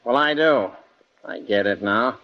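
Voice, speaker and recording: A man's voice: medium pitch, measured pace, close to an everyday voice. A man playing a suspicious, bullying law partner in an old radio drama, tough-talking, accusing and sneering. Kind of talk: 1940s radio drama acting. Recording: muffled old radio recording.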